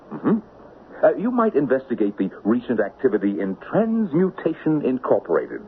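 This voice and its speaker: An actor playing the Devil as a mocking, smooth-talking gentleman schemer in a 1970s radio drama. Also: mid-range voice. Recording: muffled, hissy old radio broadcast recording.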